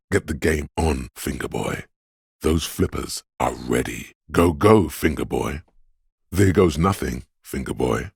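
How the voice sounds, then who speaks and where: A man voicing a cool, sly, goading inner voice in a video game, narrating in the second person with deliberate, savored words. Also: dry recording, studio quality, full character voice. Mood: goading, eager. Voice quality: very deep, gravelly, husky.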